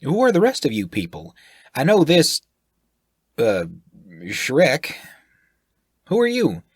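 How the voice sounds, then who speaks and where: A man's voice: fairly high pitch, wildly swooping pitch, slow, slightly rough, no room sound, clean studio sound, pitched above the performer's own voice, full character voice. A man voicing a clueless, folksy male sailor who drawls and stumbles over his words. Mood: bumbling. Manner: drawling, folksy, hesitant with fillers.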